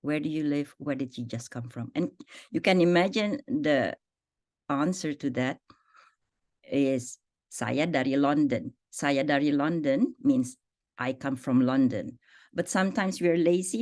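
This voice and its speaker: A woman with an Indonesian accent teaches a spoken language lesson in a low voice. She talks slowly and deliberately, repeating phrases and their meanings. The sound is dry and close, with no room around it. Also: darkish tone, dry recording. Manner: explains slowly, repeats phrases and their translations, many fillers.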